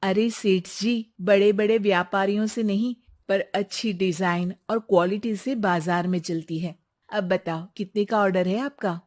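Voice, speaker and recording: A woman's voice: mid-range voice, fairly even intonation, a little slow, darkish tone, close to an everyday voice. Casting: narrator as earnest young businesswoman in a cartoon tale, mid-range voice, firm and persuasive. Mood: confident. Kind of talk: Hindi cartoon moral story acting.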